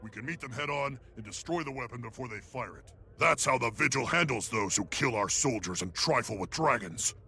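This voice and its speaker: A hard, battle-eager officer from a video game, gives blunt commands. The voice is medium in pitch, forceful and rough with creak. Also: male voice, animated, brisk, hardly any pauses. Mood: grim, resolute.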